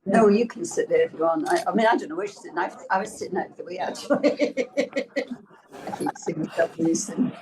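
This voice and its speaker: A woman's voice: lowish voice, animated, brisk. A woman chatting casually before a meeting starts. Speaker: meeting attendee. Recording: noisy room.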